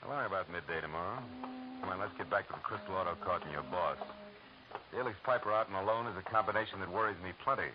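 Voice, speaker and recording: Man playing sardonic American private eye, low voice, rapid clipped delivery, muffled vintage radio drama. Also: lightly acted. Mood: businesslike. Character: hard-boiled, wry male private detective narrator. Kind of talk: old-time radio detective drama, first-person narration. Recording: muffled, noisy old radio recording.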